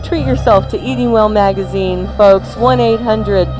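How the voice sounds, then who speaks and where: A man hosting a radio cooking show with playful, theatrical banter and campy, drawn-out flourishes. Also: high voice. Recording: music mixed under the voice. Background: music.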